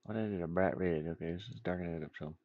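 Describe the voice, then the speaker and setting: A man's voice: low voice, racing, no room sound, narrow, tinny audio. A man thinking aloud in short, offhand phrases as he casually demonstrates game art on his computer. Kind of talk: casual screen-recorded work-along, thinking aloud.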